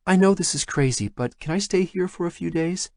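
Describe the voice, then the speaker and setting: A male narrator voicing a frightened, shy young woman who speaks in a halting, pleading way. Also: high-pitched, a little mellow, no room sound, studio quality, pitched above the performer's own voice. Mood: anxious pleading.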